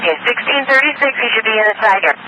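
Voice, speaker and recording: Male police dispatcher, high voice, clipped procedural relays over a noisy two-way radio scanner feed. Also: lively intonation, very fast. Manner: procedural, clipped, repeats details back. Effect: two-way radio transmission. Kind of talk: police radio dispatch, repeating reports in code.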